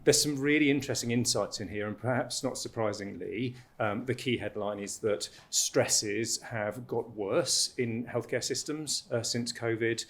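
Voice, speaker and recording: A man's voice: mid-range voice, measured pace, hardly any pauses, fairly bright tone. A man facilitating a panel and presenting a report, with many fillers and restarts.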